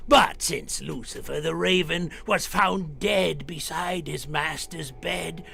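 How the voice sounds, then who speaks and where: Creaky, cackling horror host. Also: male voice, a little high, bright, forceful tone, exaggerated voice. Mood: gleefully eerie. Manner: macabre, cackling, drawn-out delivery. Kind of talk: theatrical 1940s radio horror host acting.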